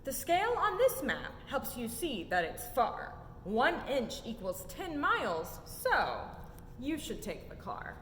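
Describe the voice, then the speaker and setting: A young woman reads a children's picture book in a sing-song, highly animated voice that sits on the high side. Her delivery follows the rhymes with emphatic rises and falls. She is recorded in an echoey, reverberant room. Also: slow pace. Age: young adult. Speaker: story time reader at a museum. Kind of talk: expressive children's picture-book read-aloud. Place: indoor museum exhibit room. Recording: echoey room with low rumbling noise.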